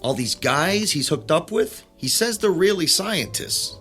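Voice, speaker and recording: An exasperated everyman in a radio drama, with a bright, edgy voice that swings widely in pitch. He is uneasy and indignant, quick to turn sarcastic, with music underneath. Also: animated. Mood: weary, uneasy. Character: uneasy, indignant ordinary man worried about his brother. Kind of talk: naturalistic radio drama acting.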